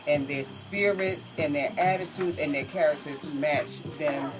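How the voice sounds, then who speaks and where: A woman, talking warmly and effusively as an interview guest, repeating herself. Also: deep voice, measured pace, rough recording. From North Carolina, US.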